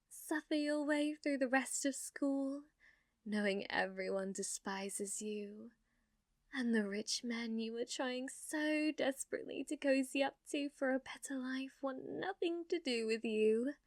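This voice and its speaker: A woman playing a sadistic, haughty young noblewoman villainess in an ASMR roleplay, taunting theatrically and often dropping into breathy whispers. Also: sits high, a little slow, no echo, studio quality. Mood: smug, cruel.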